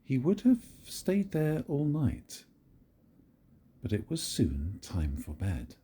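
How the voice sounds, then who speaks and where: An older man reading a picture book aloud to his grandchildren in a gentle, very expressive storytelling manner. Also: medium pitch, slow, a little hiss or hum.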